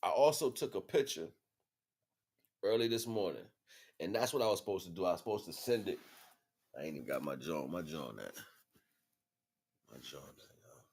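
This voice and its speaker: A man's voice: low-pitched, expressive, normal speed, gravelly, pauses often, a little mellow, breathy edge. An American man speaking in a casual, rambling livestream monologue, slangy and repetitive, often checking that listeners follow. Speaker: livestream host.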